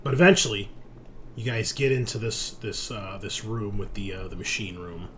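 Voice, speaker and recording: A man narrating a tabletop roleplaying game as game master, improvising in a halting way and pausing mid-sentence to think. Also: on the low side, fairly bright tone, slight background noise.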